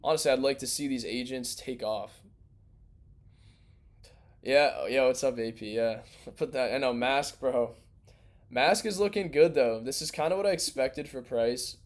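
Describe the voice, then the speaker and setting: A young man hosting a crypto livestream in loose, rambling, slangy casual chat, his voice worn and going hoarse. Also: medium pitch. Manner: loose, rambling, slangy, restarts mid-thought. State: losing his voice.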